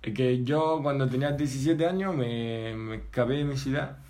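A young Italian man with an Italian accent, chatting casually in a second language, pausing and searching for words as he tells his story. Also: mid-range voice, a little mellow. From Italy. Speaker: guest. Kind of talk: casual chat.

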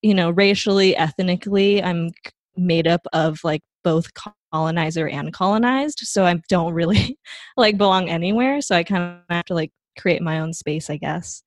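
A young woman from Taiwan, a guest in a casual interview, talking in a relaxed, rambling way with plenty of fillers. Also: on the low side, moderate pace, no room sound. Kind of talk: casual conversation.

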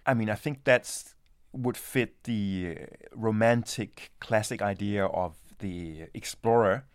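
A man's voice: on the low side, slow, smooth, no room sound, clean studio sound. A man from Denmark, an anthropologist, answering interview questions in a reflective, hesitant way with many restarts.

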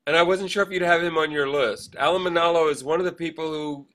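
A man co-hosting a podcast, chatting casually and rambling through shout-outs with hesitant, digressive asides. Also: a little high, steady tone, fast talker. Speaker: podcast co-host.

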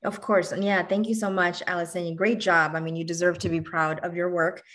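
A woman's voice: on the low side, flat delivery, brisk, fairly bright tone. A woman from Bangladesh, a doctor guest on a podcast, talking in a candid, casual and upbeat way. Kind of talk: podcast interview.

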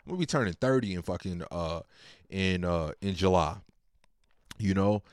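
A man's voice: low-pitched, lively intonation, moderate pace, smooth, no room sound, clean studio sound. A man in his late twenties talking casually and at length about his family, in a rambling, slang-heavy, laid-back way. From Virginia. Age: 20s. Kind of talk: casual personal monologue.

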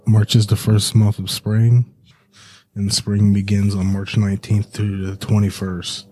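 A man's voice: on the low side, level tone, unhurried, mellow, low-quality recording. A man on a podcast team reading short facts aloud.

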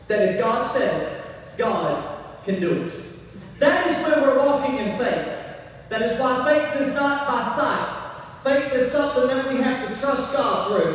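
A man preaching a church sermon as pastor, folksy and emphatic, repeating key phrases, his voice a little weakened by laryngitis. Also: very high-pitched. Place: church, speaking to a congregation. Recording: very echoey hall, distant mic, faint noise.

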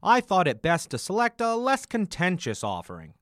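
A man's voice: high voice, huge pitch swings, normal speed, a bit bright, no echo, studio quality, full character voice. A man playing an earnest, easily offended film critic co-hosting a comic radio review show.

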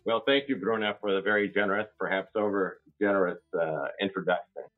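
Older male interview guest, low voice, hesitant delivery, phone-quality audio. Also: soft background music. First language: English. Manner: halting, many restarts and fillers. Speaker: guest labor lawyer. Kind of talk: interview answer. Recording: tinny phone-like line.

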